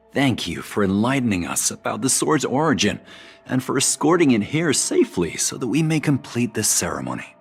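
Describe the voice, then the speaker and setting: An actor playing a polite, slightly awkward delegate in a video game, formal, courteous and a little hesitant. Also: male voice, fairly low pitch.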